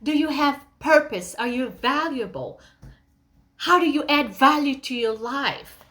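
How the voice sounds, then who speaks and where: A woman's voice: high voice, expressive, a little slow, fairly bright tone. A woman giving a motivational talk, speaking directly to listeners in an emphatic, conversational way with rhetorical questions. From Kenya.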